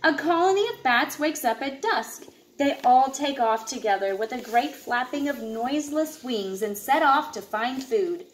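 Mom-type woman reading to kids, high voice, takes it slow, roomy home recording with some background noise. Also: animated. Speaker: parent reading aloud to children. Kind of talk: reading a children's nature book aloud. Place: indoors, open living room and kitchen at home. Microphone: camera a short distance away. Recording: echoey room with clear background noise.

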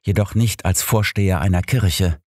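A man voicing a devout, candid and measured male pastor in an audiobook. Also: low voice, darkish tone, no echo, clean studio sound, voice pushed up. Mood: earnest.

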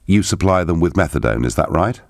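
A man's voice: deep voice, animated, brisk, no room sound. A man, hosting a live radio phone-in from a studio and reading out listener messages with emphatic delivery. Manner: emphatic, swooping delivery, interjects with questions. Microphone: studio microphone with headphones.

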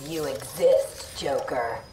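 A woman's voice: very deep voice, takes it slowly. An actress playing a cold, menacing female assassin in a video game, curt and threatening. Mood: cold menace. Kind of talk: video game character dialogue. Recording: echoey, distant, loud noise behind.

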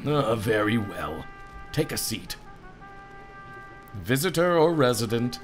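A man's voice: medium pitch, big pitch swings, takes it slowly, music in the background. A man voicing a grumpy, exasperated male harbor captain who resents paperwork, in an adventure game. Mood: grudging.

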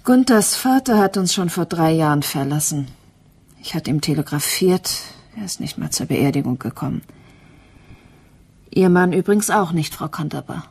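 An actress playing a grieving, resigned mother in a German radio crime play, speaking in a subdued, halting way. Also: sits low, animated, vocal fry, a little mellow, slightly rough, exaggerated voice. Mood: bitter resignation. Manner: subdued, halting, often near whisper. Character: grieving, resigned mother who lost her child.

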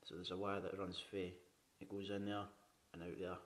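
A man giving a casual walkthrough of a flat he is renovating, chatty and frugal, swearing casually, recorded on a handheld camera indoors. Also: sits low, moderate pace. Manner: chatty, frugal, swears casually, repeats "you know what I mean". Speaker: home renovator. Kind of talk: walkthrough tour narration. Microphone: handheld camera mic.

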